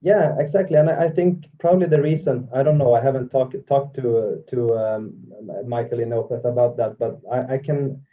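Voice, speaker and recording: A man, a guest talking in a casual interview, halting and thoughtful, often restarting his phrases. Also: medium pitch, narrow, tinny audio. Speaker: guest musician. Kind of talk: casual video call interview.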